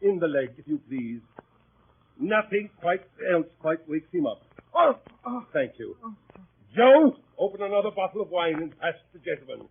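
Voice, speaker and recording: An actor playing a hearty, blustering older country squire in 1930s radio style, with a husky, exclamatory delivery. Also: male voice, sing-song, moderate pace, full character voice. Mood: blustering, fond exasperation. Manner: booming, jovial, exclamatory. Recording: muffled old radio drama recording.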